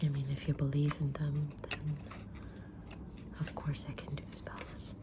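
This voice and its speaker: A woman whispering softly in an ASMR roleplay as a kind, mysterious witch reading tarot, with a gentle, soothing delivery. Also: sits low, monotone, noisy background. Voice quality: breathy unvoiced whisper. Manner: gentle, soothing, unhurried fortune-teller delivery. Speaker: ASMR creator playing a tarot reader.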